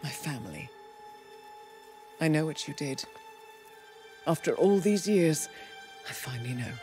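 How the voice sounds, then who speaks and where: A woman playing a cool, calculating intelligence handler in a video game, speaking in measured, formal phrases. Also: deep voice, animated. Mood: regretful, grave. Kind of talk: dramatic video game cutscene acting. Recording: long hall reverb under loud music.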